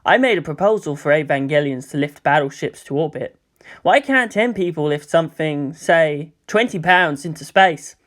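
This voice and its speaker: A man's voice: fairly high pitch, animated, moderate pace, a bit bright. A man voicing an earnest teenage boy, a psychic student who speaks politely and precisely in an audiobook. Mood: earnest, explaining.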